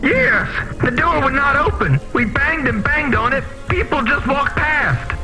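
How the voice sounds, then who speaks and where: An actor playing an earnest, masked refugee from underground vents in a video game, pleading in dramatic, formal wording. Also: male voice, very high-pitched, animated, measured pace, over music, full character voice, low-quality recording. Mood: excited relief.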